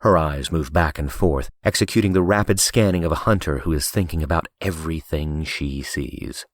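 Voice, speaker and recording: A man's voice: a bass voice, normal speed, no room sound. A man reading scripted audiobook narration as a professional narrator, close on a studio mic.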